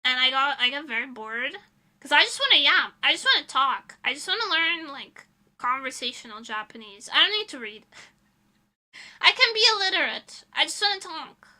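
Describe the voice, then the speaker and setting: A woman's voice: high voice, very animated, moderate pace, bright, forceful tone. A young woman streaming as a VTuber, chatting casually with her viewers in a rambling, giggly way.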